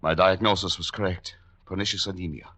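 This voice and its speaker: Tense, imperious villainous radio doctor. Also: male voice, deep voice, fairly even intonation, a little fast, bright, forceful tone, husky, heavily acted. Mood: cold, commanding. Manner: formal, imperious, precise. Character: cold, menacing, formal male doctor villain. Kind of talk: 1940s radio drama acting. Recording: noisy, clicking 1940s radio recording.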